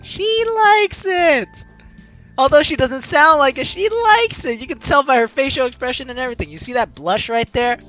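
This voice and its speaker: A young adult let's play host with a bright, forceful voice that swings widely in pitch. The delivery is playful and theatrical, moving between commentary and reading lines aloud, with faint game music underneath. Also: lively intonation, moderate pace, dull audio. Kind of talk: casual gameplay commentary with dramatic reading of dialogue.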